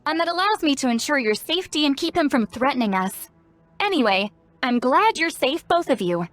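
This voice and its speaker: A woman's voice: high voice, big pitch swings, brisk, a bit bright, dry recording, soft background music. A woman playing a blunt, self-assured, protective female spellcaster in a video game. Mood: calm, practical.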